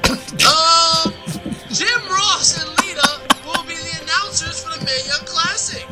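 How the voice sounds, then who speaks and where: A man hosting a comedic wrestling news segment with banter, speaking in an animated, mock-earnest way. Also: very high voice, unhurried. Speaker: host reading wrestling news. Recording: music playing behind the voice. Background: music.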